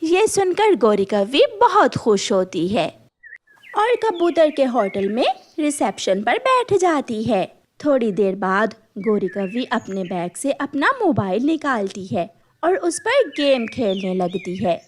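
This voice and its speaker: A woman's voice: fairly high pitch, sing-song, slow pace, a little hiss or hum. A woman narrating a scripted children's cartoon story.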